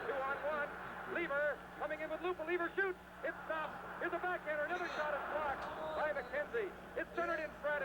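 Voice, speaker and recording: High-pitched hockey announcer, noisy old radio. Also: male voice. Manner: clipped, urgent running narration. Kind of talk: live radio sports commentary. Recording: noisy 1970s radio broadcast.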